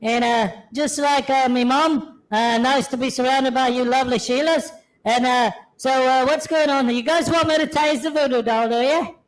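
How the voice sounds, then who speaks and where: A man's voice: extremely high pitch, fairly even intonation, moderate pace, voice pushed up, rough recording. A man voicing a chirpy female kangaroo puppet with a put-on Australian accent, chatting with lots of hesitant fillers. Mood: cheery. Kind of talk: livestream puppet bit.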